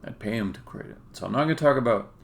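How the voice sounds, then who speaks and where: A man who develops indie games, talking casually and haltingly as he thinks aloud through a live-stream demo. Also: mid-range voice, lively intonation, gravelly.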